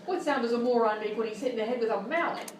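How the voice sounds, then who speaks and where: A woman's voice: fairly high pitch, racing, close to an everyday voice. A woman playing an exasperated, bitter game show host, ranting theatrically and contemptuously in live radio-style sketch comedy. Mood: mocking, impatient. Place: live stage performance in a hotel room. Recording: very echoey room, distant mic, noisy.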